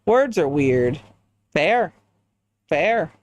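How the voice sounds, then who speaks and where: A man's voice: fairly high pitch, very animated, drawn-out words, no room sound. A man streaming a game in casual chat, rambling and reacting to viewers with goofy asides. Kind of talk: casual chat while gaming.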